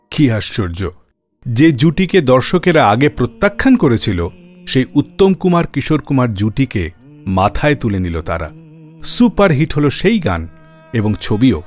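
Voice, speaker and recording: A man hosting a radio show, telling a story in scripted narration and dropping his voice low on key names for drama.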